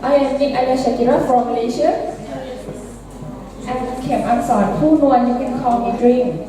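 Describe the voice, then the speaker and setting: A woman's voice: high voice, normal speed. Shy young student girl starting a class talk, lots of echo and a crowd around. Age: young adult. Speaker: student presenter. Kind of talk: class presentation. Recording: echoey hall from far off.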